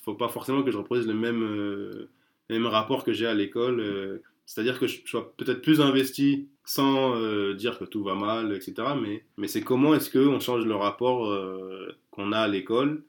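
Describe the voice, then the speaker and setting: Man, low-pitched, casual interview talk, hesitant, fillers and restarts. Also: normal speed. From France. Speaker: guest.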